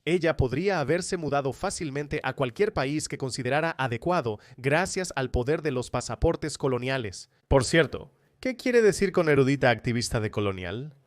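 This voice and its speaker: A man's voice: mid-range voice, animated, moderate pace, hardly any pauses, dry recording, studio quality. A man presenting a scripted political commentary monologue in a polemical, sardonic manner. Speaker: commentator and host of opinion video.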